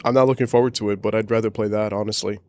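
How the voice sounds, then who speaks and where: Boomy-voiced man streaming games. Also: rapid-fire, slight background noise. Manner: relaxed, rambling, talks to his pet. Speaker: game streamer. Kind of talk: casual livestream chat. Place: home, indoors. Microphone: desk microphone.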